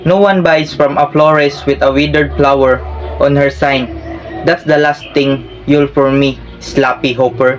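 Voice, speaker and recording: A man's voice: mid-range voice, steady tone, unhurried, soft background music, close to an everyday voice, rough recording. A man playing an upset, scolding florist shop owner in staged story theater.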